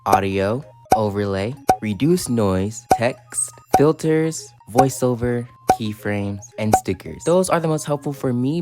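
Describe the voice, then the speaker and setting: A man giving a chatty, casual voice-over for a video tutorial as a YouTuber. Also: mid-range voice.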